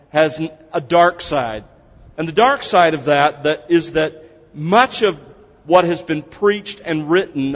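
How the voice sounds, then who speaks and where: Preacher in an echoey hall. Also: male voice, on the high side. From United States. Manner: conversational, earnest, explaining step by step. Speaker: preacher teaching a seminar. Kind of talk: seminar lecture opened with prayer. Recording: dull, reverberant hall sound.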